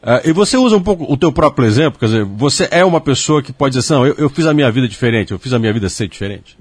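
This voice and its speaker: A man interviewing an author, asking brisk, prompting questions. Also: medium pitch, animated, slightly rough, dry recording.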